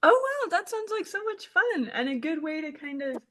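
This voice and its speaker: A woman's voice: sits high, expressive, normal speed, sharp tone. A woman hosting an interview podcast, upbeat and friendly with short reactions. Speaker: podcast host.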